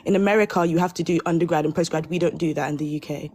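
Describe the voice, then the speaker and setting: A woman's voice: low-pitched, steady tone, racing, a little mellow, a little hiss or hum. A woman in her twenties from the UK, a guest on a live panel chat, talking hesitantly and apologetically with many fillers. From United Kingdom. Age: 20s.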